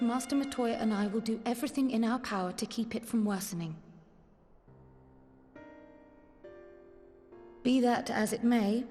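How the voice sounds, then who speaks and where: An actress playing a composed, resolute scholarly woman in a fantasy game, speaking in a formal, measured manner. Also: on the high side, a little mellow, close to an everyday voice. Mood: solemn, resolute. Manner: formal, measured, archaic diction. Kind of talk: video game cutscene acting. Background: music.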